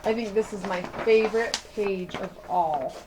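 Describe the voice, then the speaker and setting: A woman in her thirties reading a picture book aloud to children like a teacher, with deliberate, dramatic emphasis. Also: mid-range voice, background noise. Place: small indoor room at home.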